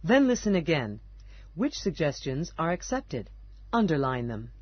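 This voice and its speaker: A woman reading listening-task instructions in a clear, measured way. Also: sits low, big pitch swings, no room sound. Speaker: instruction narrator. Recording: dull lo-fi audio.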